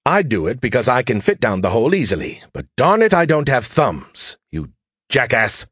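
A man's voice: medium pitch, huge pitch swings, moderate pace, fairly bright tone, husky, dry recording, clean studio sound, exaggerated voice. A man voicing a sarcastic, irritable male artificial intelligence in an audiobook, condescending and mocking. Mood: sarcastic, scolding. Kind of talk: audiobook character voice.

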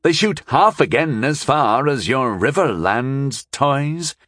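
A man's voice: medium pitch, expressive, takes it slowly, a bit bright, no echo, studio quality, pitched above the performer's own voice. A man voicing a proud, saucy frontier noblewoman in an audiobook, speaking with confident defiance.

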